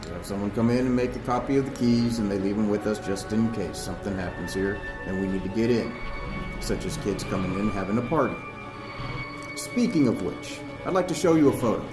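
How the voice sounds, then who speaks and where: A man's voice: on the low side, a little fast, close to an everyday voice. A man playing a brusque, suspicious small-town police chief in an audio drama, pressing with curt, blunt questions. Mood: probing. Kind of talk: audio drama acting, interrogating. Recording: echoey room, loud music underneath.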